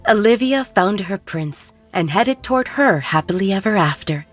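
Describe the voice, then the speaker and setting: A woman reading a romance story aloud as a calm, even narrator. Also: on the low side, slightly rough. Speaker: audiobook narrator.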